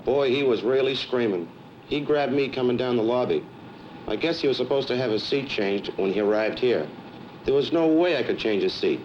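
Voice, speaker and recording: A man's voice: medium pitch, expressive, moderate pace, a little mellow, close to an everyday voice. Man acting flustered airline agent, muffled old film. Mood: flustered, defensive. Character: flustered, defensive male airline counter agent. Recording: muffled, faintly noisy 1970s film soundtrack.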